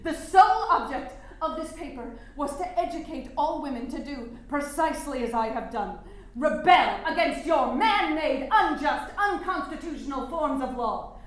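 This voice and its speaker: An indignant, unyielding suffragist played by a woman addresses a courtroom in a high voice. She declaims with deliberate emphasis, as oratory on a stage. The room is heavily reverberant. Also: animated. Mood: defiant, impassioned. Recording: very echoey hall stage recording.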